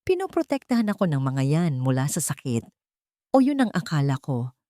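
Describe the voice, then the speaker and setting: A woman voicing an earnest, tender wealthy man in love in a narrated romance, speaking in a heartfelt, measured way. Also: low-pitched, sing-song, mellow, no echo, studio quality. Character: earnest, tender male billionaire confessing his love.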